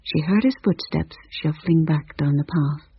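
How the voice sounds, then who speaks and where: A woman with a very breathy, husky voice reads a suspenseful story in hushed tones. The recording is dry and close, with a slightly dull sound. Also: low voice, unhurried, no room sound. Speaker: narrator. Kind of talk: storybook narration.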